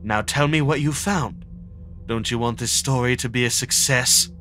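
A man's voice: mid-range voice, normal speed, sharp tone, natural voice. A man voicing an arrogant, commanding teenage schoolboy in a comic dub, curt and cold. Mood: menacing, demanding. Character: arrogant, commanding high-ranking teenage schoolboy obsessed with hierarchy. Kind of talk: dramatic comic dub acting.

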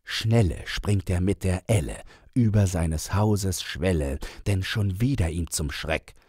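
A man reading a rhymed children's story aloud as an audiobook, in a deliberate, theatrical manner. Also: sits low, darkish tone, slightly rough, dry recording, studio quality. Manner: deliberate, theatrical, measured verse delivery. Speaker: audiobook reader.

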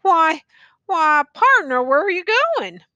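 A woman's voice: high-pitched, sing-song, slow, pushed and bright, no room sound, pitched above the performer's own voice, exaggerated voice. Woman voicing a slurring, rambling wounded soldier. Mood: pleading, plaintive. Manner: slurred rambling folksy dialect. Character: rambling wounded old-fashioned male soldier.

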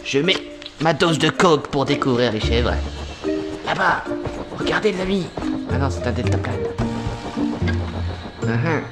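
A man's voice: medium pitch, expressive, measured pace, pauses often, natural voice. A man voicing a cocky, foul-mouthed cartoon goat hunter with a theatrical, swaggering, mock-serious delivery. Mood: hushed mock-serious. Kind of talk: cartoon mock-adventure narration in French. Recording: music behind the voice. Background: music.

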